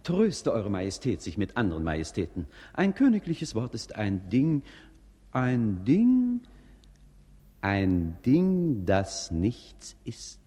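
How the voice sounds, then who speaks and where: An actor playing a deferential, drily logical state councillor in a 1950s radio play. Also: male voice, on the low side, huge pitch swings, slow, warm tone, breathy edge, exaggerated voice. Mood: deadpan. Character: dry, servile male state councillor. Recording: old mono recording with long reverb.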